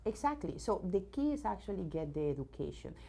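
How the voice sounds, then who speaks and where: Low-voiced Venezuelan-born woman, halting speech. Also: sing-song, vocal fry, warm tone. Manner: many fillers and restarts, searching for words; enthusiastic about her subject. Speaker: robotics company CEO, guest. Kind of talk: news interview.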